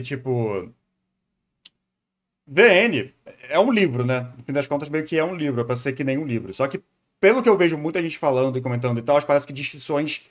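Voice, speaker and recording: A Brazilian man talks very quickly in a slightly high voice. He comments off the cuff like a streamer reacting to a video, casual and full of slang. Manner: informal, slangy.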